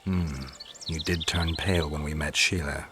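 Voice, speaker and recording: An actor playing a gruff, laconic monster hunter in a fantasy video game, terse and flatly questioning, with a gravelly growl. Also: male voice, rumbling low, moderate pace, music in the background. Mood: wry, businesslike.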